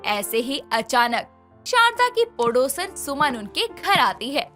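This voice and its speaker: A woman tells a cartoon story at an unhurried pace, her high, bright voice rising and falling a lot. Soft music plays under the narration. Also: sing-song.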